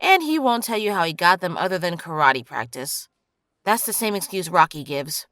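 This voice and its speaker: A woman's voice: on the low side, expressive, normal speed, fairly bright tone. A woman playing a blunt, fed-up teenage heroine in an audio drama, insistent and conversational.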